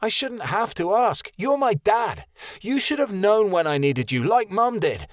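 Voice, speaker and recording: A man voicing a grieving teenage son who shouts bitterly at his father. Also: on the high side, expressive, normal speed, no room sound, studio quality, pitched above the performer's own voice, natural voice. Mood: furious.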